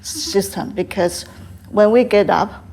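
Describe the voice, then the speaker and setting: An older woman from Taiwan with a Taiwanese accent, answering interview questions in halting English with frequent fillers. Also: mid-range voice, a little mellow, slight background noise. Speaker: guest, tea house owner.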